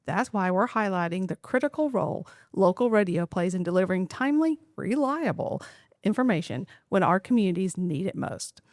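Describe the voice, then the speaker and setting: A woman's voice: mid-range voice, expressive, brisk, darkish tone, no room sound, clean studio sound. A woman hosting an interview show, reading a scripted intro and reacting to her guest. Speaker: host.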